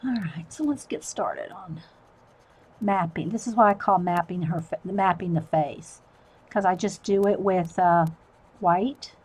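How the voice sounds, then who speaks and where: A woman's voice: lowish voice, a little slow, a little mellow, breathy edge. A middle-aged woman, chatting casually with viewers while she draws, laughing often. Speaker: artist livestreamer. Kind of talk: casual chat while drawing.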